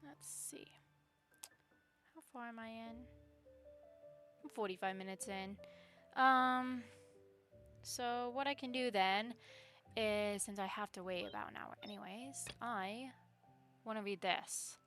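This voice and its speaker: Bright-voiced woman streaming a game. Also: on the high side, slow pace, pauses often, faint music behind. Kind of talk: casual live game commentary, muttering to self.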